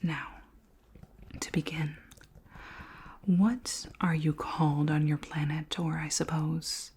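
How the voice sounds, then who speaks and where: A woman voicing a curious, polite, imperious alien queen in a whispered ASMR roleplay, with slow, formal, deliberate questioning in a soft, breathy whisper. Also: low voice, flat delivery, heavily acted. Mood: polite, eager.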